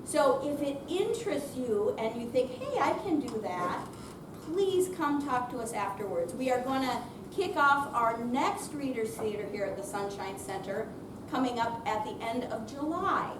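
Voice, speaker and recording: A woman presenter speaking loudly and chattily off the cuff to a live audience, introducing a theater program. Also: fairly high pitch, expressive, moderate pace. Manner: loud, projecting, chatty. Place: senior center room, unamplified, before an audience. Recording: distant mic, echoey room, noisy.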